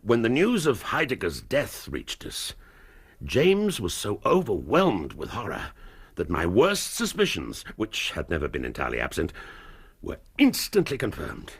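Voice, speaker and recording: Radio drama: grave, guilt-ridden elderly duke, husky and low, formal and yielding. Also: male voice, heavily acted. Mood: anguished confession. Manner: halting, formal, aristocratic. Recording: radio drama studio recording.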